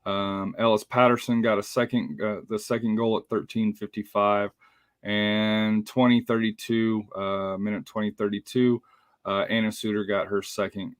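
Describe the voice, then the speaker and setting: Man, slightly raspy, casual sports host, filler-heavy, dry close sound. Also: on the low side, no echo. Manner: rambling with many uh fillers. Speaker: podcast host.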